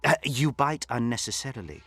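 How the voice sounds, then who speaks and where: A man's voice: mid-range voice, lively intonation, brisk, fairly bright tone, faint music behind. A man playing a pompous, sly aristocratic count in a video game, speaking in a theatrical, florid courtly manner with mocking, suggestive emphasis. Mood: haughty, provocative. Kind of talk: video game character dialogue.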